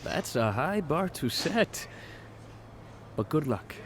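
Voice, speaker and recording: Stern interviewer, very animated intonation. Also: male voice, on the high side, slow pace, noisy background. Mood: stern, skeptical. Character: stern, skeptical male interviewer at wrestling tryout. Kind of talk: game cutscene dialogue.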